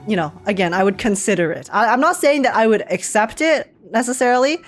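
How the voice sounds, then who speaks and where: A woman giving casual, slangy, joking reaction commentary as a game streamer. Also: mid-range voice. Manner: slangy, joking, frequently chuckling.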